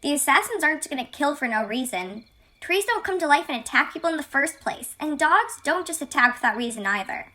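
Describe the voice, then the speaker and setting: Woman playing a bright, high-voiced plucky girl heroine. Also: expressive, a little fast. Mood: encouraging. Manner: chatty, matter-of-fact, gently corrective. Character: plucky, optimistic girl heroine who contradicts her narrator. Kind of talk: radio play acting.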